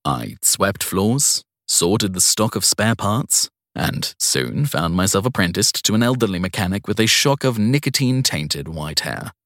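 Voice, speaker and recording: Male audiobook narrator, very low pitch, lively intonation, scripted crime storytelling, dry studio-like sound. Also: unhurried, hardly any pauses, no echo. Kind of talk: scripted first-person crime fiction narration.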